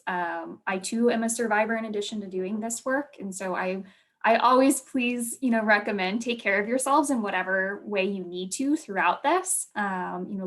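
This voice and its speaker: A woman co-leading a virtual training over a video call, speaking gently with many ums and you-knows. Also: mid-range voice.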